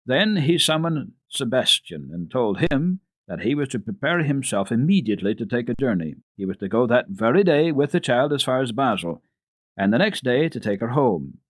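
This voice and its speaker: A man narrating a children's classic as a radio storyteller, in a measured, expressive, formal reading. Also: medium pitch, no room sound.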